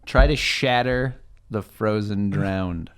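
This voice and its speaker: A man's voice: fairly low pitch, takes it slowly, smooth. A man making casual, wry side comments during a tabletop game.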